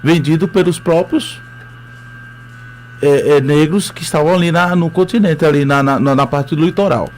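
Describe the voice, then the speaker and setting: A man's voice: fairly high pitch, normal speed, a little hiss or hum. A man with a Northeastern Brazilian accent, a history teacher telling his story as a radio interview guest, hesitant with many fillers.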